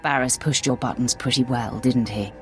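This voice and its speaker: A woman's voice: a bass voice, steady tone, moderate pace, faint music behind. A woman playing a cold, ruthless Sith warrior in a video game, with terse, imperious delivery. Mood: cold resolve. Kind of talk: dramatic video game acting.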